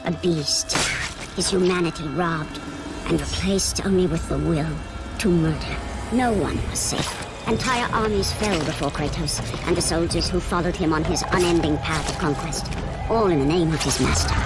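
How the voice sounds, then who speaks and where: An actress playing a solemn, portentous narrator of a Greek epic in a video game, with deliberate dramatic emphasis. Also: female voice, lowish voice, natural voice, low-quality recording. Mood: ominous. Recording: loud dramatic music underneath.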